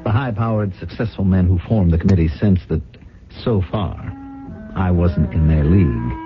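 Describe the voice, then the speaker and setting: A man's voice: sits low, normal speed, close to an everyday voice. An actor playing an ambitious, defensive paint-company boss with a salesman's self-justifying manner in a radio drama. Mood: brooding. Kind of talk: 1970s radio drama acting and first-person narration. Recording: dull old radio broadcast, faint music.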